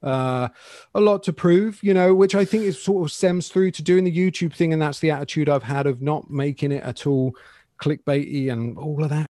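A man in his forties, a guitarist being interviewed, talking in a relaxed, candid and rambling way. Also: on the high side, moderate pace, no echo, studio quality. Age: 40s. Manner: relaxed, candid, loose and rambling with frequent "you know what I mean". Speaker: guest guitarist. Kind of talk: casual interview chat.

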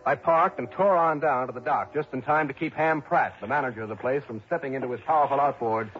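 A man acting as a brisk, hard-boiled investigator who narrates his case in an emphatic 1960s radio drama style. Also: medium pitch, highs cut off, natural voice. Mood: brisk narrating. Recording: band-limited old radio recording, music behind.

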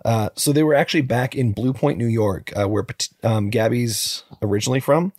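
A man co-hosting a true crime podcast, telling the story in a relaxed, joking way with lots of fillers. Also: medium pitch, a little fast, no echo, studio quality. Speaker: podcast co-host.

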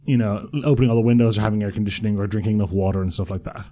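A young man from Croatia co-hosts a relaxed chat. He talks quickly, rambling and restarting his sentences, and laughs easily. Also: on the low side. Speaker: podcast co-host. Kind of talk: casual chat.

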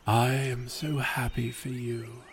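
A man voicing a humble old male god who is gentle, tearful and hoarse from a century of silence. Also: medium pitch, little pitch movement, slow, a little hiss or hum.

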